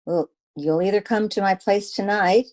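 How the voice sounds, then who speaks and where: A woman's voice: mid-range voice, moderate pace, a little mellow, close to an everyday voice. A woman playing a detective's wry, sassy girlfriend in an old-time radio drama, with dry banter. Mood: wry, exasperated.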